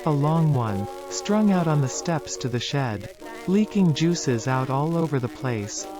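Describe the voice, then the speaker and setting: A troubled research subject, acted by a man, recounts the past in an analog horror tape. The voice sits a little high and moves with solemn deliberation. Music plays clearly behind it. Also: expressive, a little slow. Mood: grieving, bitter. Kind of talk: analog horror found-footage acting, narrative monologue.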